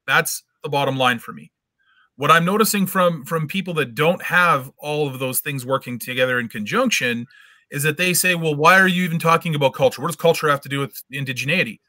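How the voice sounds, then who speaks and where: A man's voice: on the high side, fairly quick, sharp tone, no room sound, clean studio sound. A middle-aged man arguing his case in an online debate, insistent and lecturing, repeating words as he presses his point from a room at home on a video call. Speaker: debate guest. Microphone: webcam video call.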